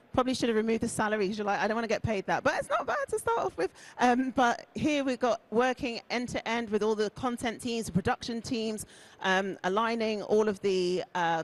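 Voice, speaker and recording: Woman presenter, booming voice, chatty and self-deprecating, conference stage talk. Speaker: conference speaker. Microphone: stage mic on a loudspeaker.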